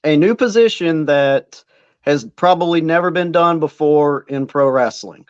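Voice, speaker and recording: A man's voice: a little high, measured pace, clean tone, dry recording, highs cut off. A man who works as a wrestling ring announcer, chatting casually as an interview guest and rambling with plenty of fillers. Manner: rambling, self-deprecating, many fillers.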